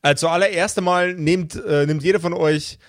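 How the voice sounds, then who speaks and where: A man's voice: fairly high pitch, steady tone, normal speed, dry recording, studio quality. A man acting as game master, casually explaining the rules of a tabletop game.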